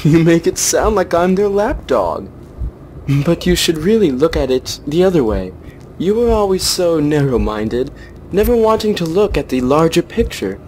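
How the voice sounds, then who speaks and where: Ancient male vampire, played by a man: sardonic, animated, lightish pitch, breezy teasing, faint noise behind. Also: normal speed. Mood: scornful teasing. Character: old vampire, cocky, amused, teasing male fighter.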